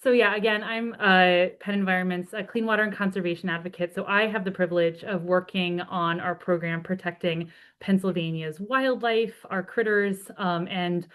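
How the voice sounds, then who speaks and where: A woman, a conservation advocate, giving a friendly webinar presentation over a video call, slightly hoarse from a cold, talking freely with many ums and uhs. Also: lowish voice, fairly even intonation, moderate pace, hardly any pauses, fairly bright tone.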